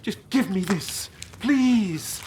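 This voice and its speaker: A man's voice: high voice, expressive, very slow, darkish tone, a little hiss or hum, heavily acted. An actor playing an emotional, pleading adventurer in an audio drama, a man. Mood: desperate pleading.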